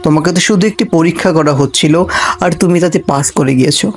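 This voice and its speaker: A man playing a cartoon royal guard disguised as a poor traveller, pleading and preaching in an earnest, deliberate way. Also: on the high side, close to an everyday voice. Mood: solemn, approving. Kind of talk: Bengali cartoon character acting.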